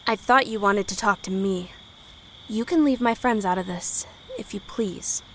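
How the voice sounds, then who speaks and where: A woman acting a brave, polite but defiant high school girl in a period audio drama, with folksy speech. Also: unhurried, fairly bright tone, slight background noise. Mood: guarded, brave. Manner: folksy dialect grammar, plucky. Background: crickets and night insects.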